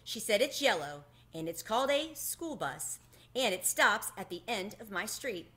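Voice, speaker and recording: A middle-aged woman reading a children's story aloud in an animated storytelling manner. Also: medium pitch, normal speed. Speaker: book reader. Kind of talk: expressive children's read-aloud. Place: home room with bookshelves, indoors. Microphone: webcam at desk distance.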